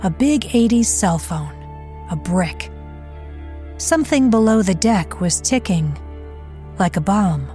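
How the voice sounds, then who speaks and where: A woman's voice: medium pitch, animated, slow pace, pauses often, mellow, faint music behind. A woman narrating a scripted crime audio drama.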